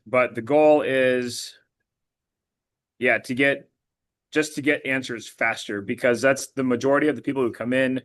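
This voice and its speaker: Hoarse man answering casually. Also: medium pitch, fairly bright tone. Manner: rambling, many fillers and restarts. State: voice partly lost, a bit hoarse. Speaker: blood analysis practitioner and girls flag football coach.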